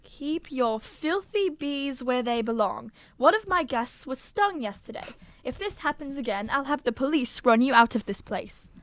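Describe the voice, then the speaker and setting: A woman playing a loyal, nostalgic older gentleman in an audio drama, with genteel, old-fashioned phrasing. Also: high voice, expressive, moderate pace, few pauses, dry recording. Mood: indignant. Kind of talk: audio drama acting with first-person narration.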